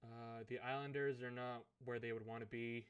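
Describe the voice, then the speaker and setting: A man with a hoarse, fading voice, thinking aloud as he commentates a hockey management game. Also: mid-range voice, level tone, muffled recording. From Canada. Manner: musing aloud, unhurried, thinking through choices. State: hoarse, losing his voice. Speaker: gaming commentator. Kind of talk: let's play commentary of a management sim.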